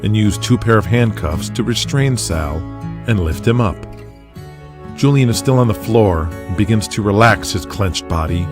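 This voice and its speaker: A man's voice: fairly low pitch, expressive, moderate pace, music in the background. A man giving scripted dramatic narration as the narrator of an audio drama.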